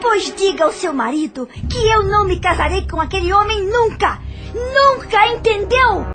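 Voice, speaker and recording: A woman plays a young Brazilian niece in an audio drama, her voice extremely high. She is theatrical and indignant, protesting at the fate arranged for her, with soft music behind her. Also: lively intonation, normal speed. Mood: outraged. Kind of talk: audio novel acting.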